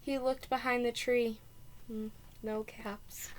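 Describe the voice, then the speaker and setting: A woman preschool teacher reading a children's storybook aloud with asides, expressive and deliberate, stressing key words. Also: on the high side, slight background noise, poor audio.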